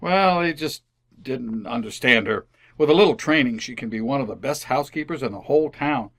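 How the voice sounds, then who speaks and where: A man's voice: medium pitch, lively intonation, fairly quick, a bit bright. A man playing a placating, easily exasperated suburban husband in an old-time radio comedy, stammering and hedging. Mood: pleading.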